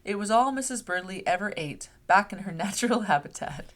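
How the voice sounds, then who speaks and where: A woman reading a picture book aloud to young children in an expressive storytelling manner. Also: mid-range voice, brisk. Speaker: read-aloud host. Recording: clear background noise.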